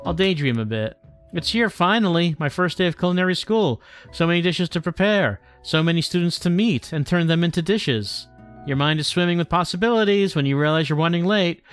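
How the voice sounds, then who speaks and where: Clear higher-voiced man, wry game streamer. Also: lively intonation, a little fast, a bit bright, faint music behind. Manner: wry, self-deprecating. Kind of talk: casual livestream commentary, reading game text aloud.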